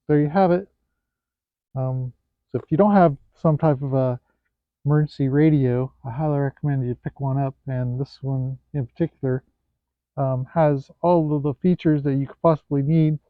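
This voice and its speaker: A man with a fairly high voice talks through a product review off the cuff. His delivery is hesitant, with pauses to look things over. The sound is narrow and phone-like, with very little room in it. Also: no echo. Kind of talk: casual unscripted product review. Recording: thin, phone-like, band-limited sound.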